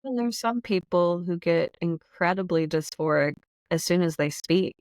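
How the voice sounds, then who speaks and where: A woman speaking on a podcast in a casual way, giving steady, supportive explanations and often agreeing. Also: lowish voice, normal speed, no room sound, clean studio sound. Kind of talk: casual conversation.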